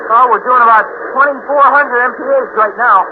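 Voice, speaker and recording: Cocky American boy rocketeer, very high voice, slangy know-it-all, tinny noisy old radio recording. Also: fairly quick. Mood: cocky, thrilled. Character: cocky, slangy teenage boy space joyrider. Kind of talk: 1950s radio drama acting.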